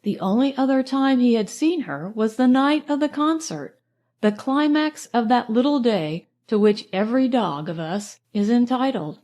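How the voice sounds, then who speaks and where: Higher-pitched woman reading a novel. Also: lively intonation, normal speed, dark tone. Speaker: audiobook narrator. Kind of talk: scripted first-person literary narration.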